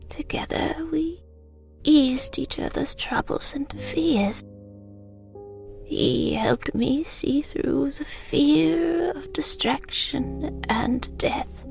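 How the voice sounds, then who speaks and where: A woman playing a frail, wistful elderly British mother remembering her wartime childhood in a hushed, breathy audio drama monologue. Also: sits high, heavily acted. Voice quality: breathy, whispery. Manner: slow, hushed reminiscing.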